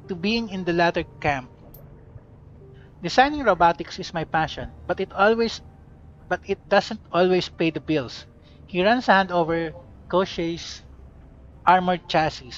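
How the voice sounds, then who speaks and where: A courteous, formal man who designs robots, calm and precise in his speech, as a man reads him in his own fairly high voice. The recording sounds dull and lo-fi. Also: normal speed, natural voice. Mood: measured.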